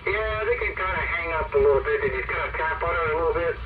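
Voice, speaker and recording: A man's voice: a little high, fast. A man chatting casually as a radio operator, heard through a two-way radio transmission. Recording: noisy muffled CB radio speaker, distant.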